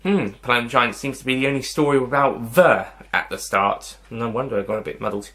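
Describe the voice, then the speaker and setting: A man with a bright, forward voice presents a casual review to his viewers. He rambles a little, hesitating and circling back to reword his points as he goes. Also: medium pitch, steady tone, a little fast. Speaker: host of a video review channel.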